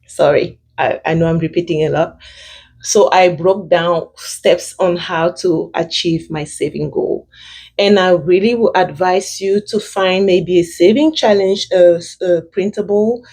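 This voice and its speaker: A young woman with a thick French-speaking accent, giving casual money advice to her viewers, pausing often with fillers and restarting her sentences. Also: lowish voice, darkish tone. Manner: hesitant, many fillers and restarts. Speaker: vlogger. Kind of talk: casual personal finance advice.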